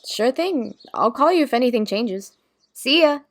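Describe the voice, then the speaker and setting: A woman's voice: fairly high pitch, animated, normal speed. A woman playing a wry, foul-mouthed young woman in an audio drama, with a casual, dry, teasing delivery. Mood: friendly, casual. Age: young adult.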